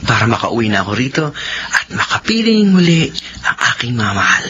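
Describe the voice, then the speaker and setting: A man's voice: medium pitch, big pitch swings, brisk, noisy background, lightly acted. A man playing a devoted, playful young husband and father with a Filipino accent, tender and effusive in a sentimental radio drama. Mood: affectionate. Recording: dull lo-fi audio with loud music.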